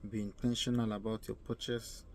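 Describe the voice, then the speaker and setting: A young man from Nigeria with a Nigerian accent giving earnest advice in a casual vlog, often repeating himself and pausing to search for words. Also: on the low side, level tone. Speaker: vlogger. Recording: faint ambient noise.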